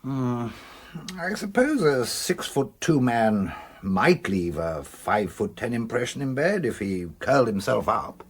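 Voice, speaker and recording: Radio drama: practical English policeman, mid-pitched, husky, dry but animated delivery. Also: measured pace. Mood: dry, doubtful. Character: sensible, dry, hard-working English police detective.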